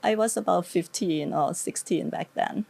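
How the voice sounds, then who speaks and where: A woman's voice: on the low side, moderate pace, creaky, crowd noise. A middle-aged woman from northeast Thailand, a native Lao speaker with a Thai accent, giving conversational interview answers.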